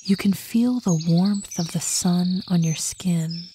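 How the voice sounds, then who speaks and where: A woman narrating a guided meditation in a calm, soothing, unhurried way, with a soft voice that turns breathy at phrase ends. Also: lowish voice, slight background noise. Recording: birdsong and nature ambience behind. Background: birdsong, outdoor nature sounds.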